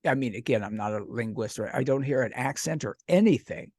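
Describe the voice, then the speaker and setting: A man hosts a radio interview, effusive and rambling as he restarts his sentences. His voice sits at an ordinary middle pitch. The sound is dry, with no room behind it. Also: brisk, darkish tone, no echo. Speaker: radio show host.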